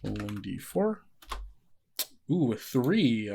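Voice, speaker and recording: A man's voice: mid-range voice, big pitch swings, drawn-out words, vocal fry, mellow, a little hiss or hum. A man running a tabletop horror game, narrating improvised scenes conversationally and often putting questions to his player. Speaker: game master.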